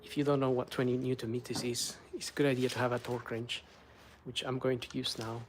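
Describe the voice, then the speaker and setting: A man's voice: medium pitch, fast talker, background noise. A middle-aged man whose first language is Spanish, chatting casually to viewers as he services a car step by step. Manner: chatty, relaxed, talks to live chat viewers. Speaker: car maintenance vlogger. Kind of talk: casual how-to chat while working.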